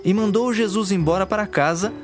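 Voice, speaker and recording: Guy narrating a bible drama, formal n measured, voice a bit high, soft music under it.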